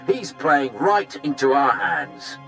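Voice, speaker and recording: Lively, fawning Imperial captain. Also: male voice, mid-range voice, takes it slowly, vocal fry, faint music behind, heavily acted. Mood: eager, deferential. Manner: effusive, obsequious, upbeat. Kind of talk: scripted game cutscene acting.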